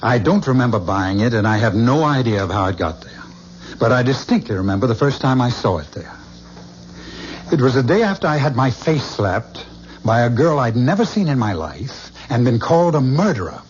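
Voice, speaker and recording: A man plays a haunted, brooding man in a vintage radio mystery, with a middling pitch and an intense, halting delivery. The sound is dull and lo-fi, with a faint hiss of old broadcast tape. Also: big pitch swings, close to an everyday voice. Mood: urgent. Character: troubled man haunted by visions of a killer. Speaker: lead character. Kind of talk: 1970s radio drama acting.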